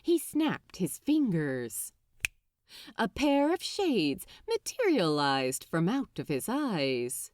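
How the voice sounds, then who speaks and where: A woman reading fiction aloud as narrator, with dramatic, deliberate phrasing and an expressive storytelling style. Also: medium pitch, slight background noise.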